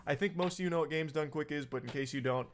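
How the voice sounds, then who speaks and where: A man narrating a scripted commentary video in a sarcastic, energetic storytelling manner. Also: a little high, quick pace, a little hiss or hum.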